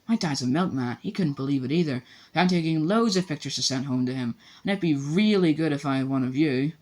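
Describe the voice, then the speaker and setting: A man's voice: on the high side, lively intonation, fairly quick, darkish tone, voice pushed up, lightly acted. A man reading an audiobook, voicing a small, eager schoolboy who talks breathlessly and in a rush. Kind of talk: audiobook character voice.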